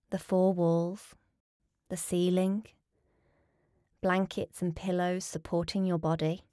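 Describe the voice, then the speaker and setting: Low-voiced woman guiding deep relaxation. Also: no room sound, studio quality. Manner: calm, soothing, measured instruction with pauses. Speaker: yoga nidra teacher. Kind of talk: guided relaxation meditation.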